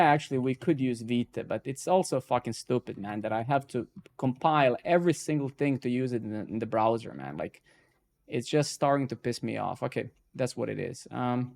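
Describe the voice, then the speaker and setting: A man's voice: mid-range voice, animated, moderate pace, vocal fry, darkish tone. A man originally from Serbia hosting a casual live-coding stream, talking loosely, swearing when frustrated and tagging lines with "right?" and "man". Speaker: stream host.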